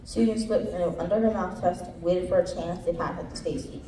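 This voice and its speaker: A woman's voice: on the low side, steady tone, a little fast. A female student narrator reading scene narration aloud in a reader's theater performance. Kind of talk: scripted narration read aloud on stage. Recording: echoey room, distant mic, background noise.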